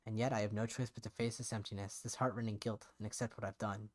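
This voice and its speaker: A man's voice: lowish voice, little pitch movement, fast talker, darkish tone, close to an everyday voice. A man voicing a guilt-ridden, despairing young man who narrates his own visual novel story, somber and introspective. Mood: grieving, hollow.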